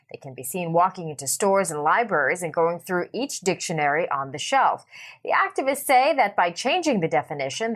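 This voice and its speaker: Female newsreader, lower pitch, animated intonation, crisp broadcast delivery. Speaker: news anchor. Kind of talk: broadcast news report.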